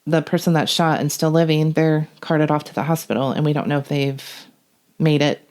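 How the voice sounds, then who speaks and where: A woman's voice: deep voice, steady tone, a little fast, darkish tone. A middle-aged woman speaking plainly and matter-of-factly in a conversational interview as a police dispatcher describing her work.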